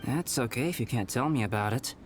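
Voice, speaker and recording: A man voicing a calm, scholarly young alchemist in a game, composed and precise with a dry wit. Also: medium pitch, fairly quick, music in the background, lightly acted. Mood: wry. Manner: composed, precise, softly wry. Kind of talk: game cutscene dialogue.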